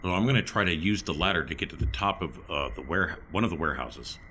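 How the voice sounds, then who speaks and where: A man's voice: deep voice, animated, quick pace, pushed and bright. A man chatting casually as a player in a tabletop game. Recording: clear background noise.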